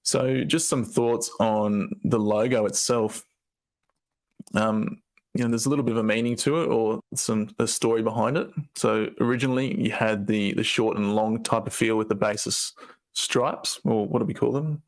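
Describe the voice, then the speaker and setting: Croaky low-voiced man presenting. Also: steady tone, normal speed. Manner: loose, rambling, with fillers and restarts. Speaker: co-founder presenting update. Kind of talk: casual presentation.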